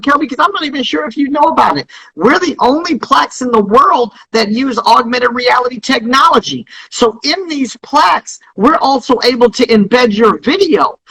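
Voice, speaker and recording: A man giving an emphatic, motivational promotional pitch as an interview guest on a live stream, repeating points like a salesman. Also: pushed and bright, breathy edge, poor audio. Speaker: business founder being interviewed. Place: Instagram live call by phone. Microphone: phone.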